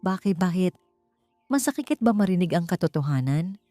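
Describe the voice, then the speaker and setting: A woman's voice: fairly low pitch, lively intonation, normal speed, mellow, no room sound, close to an everyday voice. A woman puts on a smug Filipino man, the taunting rival of an audiobook love story. He gloats and goads as he speaks. Light music sits behind the voice. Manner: gloating, goading. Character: smug, taunting male rival exposing a betrayal.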